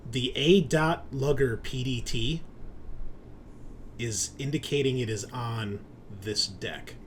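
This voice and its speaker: A man's voice: medium pitch, takes it slowly, slight background noise. A man narrating a tabletop horror game as game master in a casual, conversational way.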